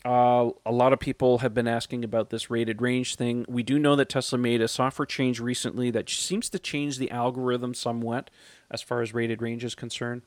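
A man's voice: mid-range voice, flat delivery, moderate pace, no echo. Man, 30s, podcast host; casual and chatty, dry close sound. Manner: chatty, laughs often, frequent fillers. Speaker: podcast host reading listener questions.

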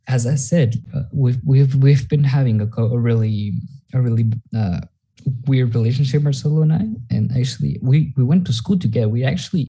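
A young man from Mexico hosting a podcast in casual chat, halting and leaning on "actually" and fillers. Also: mid-range voice, gravelly, mellow, slightly rough, low-quality recording. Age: young adult. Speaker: podcast host.

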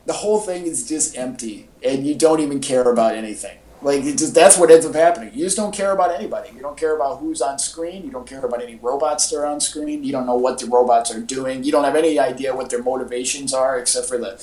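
A man's voice: medium pitch, quick pace, hardly any pauses, warm tone. A man co-hosting a casual film chat podcast, talking in an exasperated, hyperbolic way. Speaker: podcast co-host. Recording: echoey podcast audio.

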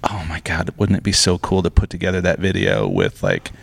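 A man in his fifties co-hosting a podcast, telling stories in an animated, self-deprecating way. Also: low-pitched, brisk, vocal fry. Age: 50s. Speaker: podcast co-host. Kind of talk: casual podcast conversation.

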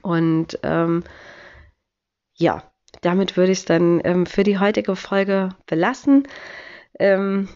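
A woman's voice: on the low side, fairly even intonation, slow, long pauses, darkish tone, smooth, dull audio. A woman in her thirties with a cold, hosting a podcast in a chatty, encouraging way with many filler sounds. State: has a cold. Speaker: podcast host. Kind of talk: casual podcast monologue.

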